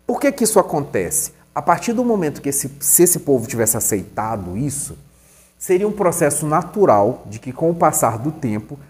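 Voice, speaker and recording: A man's voice: fairly high pitch, very animated, moderate pace, darkish tone. A man giving a recorded online lesson, chatty and conversational, asking questions and answering them himself. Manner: conversational, asks and answers his own questions. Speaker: teacher.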